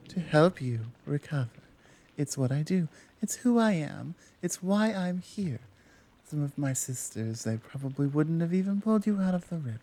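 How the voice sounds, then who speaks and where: Slow, mellow woman acting a protective Amazon warrior. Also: deep voice, exaggerated voice. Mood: earnest, reassuring. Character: tall, powerful, protective Amazon warrior woman, gently teasing rescuer. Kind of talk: intimate ASMR audio roleplay.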